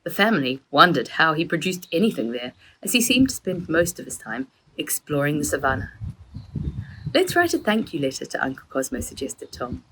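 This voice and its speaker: A woman reading a children's story aloud as its author, with an expressive, animated storytelling delivery and a husky voice. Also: deep voice, slight background noise, low-quality recording. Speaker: author reading own book. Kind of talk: expressive children's audiobook narration.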